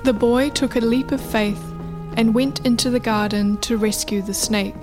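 A woman storyteller with a slightly high voice narrates a folktale. Her delivery is measured and literary, with music playing softly underneath. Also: fairly even intonation.

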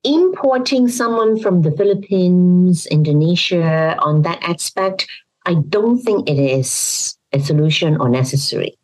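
A woman's voice: fairly low pitch, animated, measured pace, a little mellow, poor audio. A woman explaining her views as an expert interview guest, opinionated and emphatic, often posing rhetorical questions. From Hong Kong. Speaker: expert guest, health policy professor. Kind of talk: interview answers, explanatory talk.

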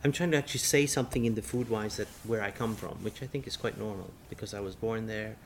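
Middle-aged chef, low gravelly voice, halting and restarting; faint room noise behind. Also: male voice, fairly even intonation. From Singapore. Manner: halting, many restarts and fillers. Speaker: chef interviewee. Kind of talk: casual interview.

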